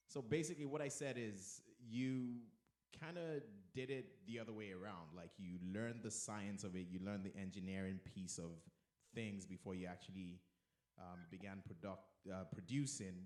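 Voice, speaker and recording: A man's voice: fairly low pitch, darkish tone. A man hosting a radio show, interviewing a guest with upbeat, playful banter. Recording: echoey room.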